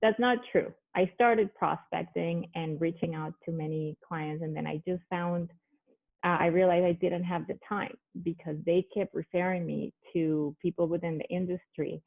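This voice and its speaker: A woman's voice: on the low side, fairly even intonation, unhurried, gravelly. Colombian woman on a thin call line. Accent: Colombian Spanish. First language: Spanish. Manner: conversational, many fillers and restarts. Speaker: guest, business owner. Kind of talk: casual video-call conversation. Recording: phone-like thin call audio.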